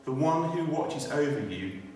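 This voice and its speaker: A man's voice: on the high side, expressive, unhurried, a little mellow. British man testifying in an echoey church. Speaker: church speaker giving testimony. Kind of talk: personal testimony and scripture reading. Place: inside a church. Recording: echoey church hall, distant, faint noise.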